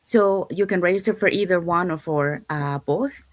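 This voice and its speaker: A woman's voice: on the low side, normal speed, dry recording, clean studio sound. A woman from Panama, co-hosting a live business chat and explaining sign-up steps in an upbeat, explanatory way. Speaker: co-host. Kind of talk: live-stream promo chat.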